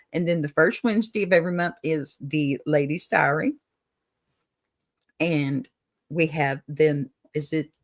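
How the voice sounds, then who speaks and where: A woman with a Texan accent hosting a casual advice livestream, folksy and encouraging, calling viewers honey and y'all. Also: sits low. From Texas. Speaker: advice show host. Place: home setup, on camera.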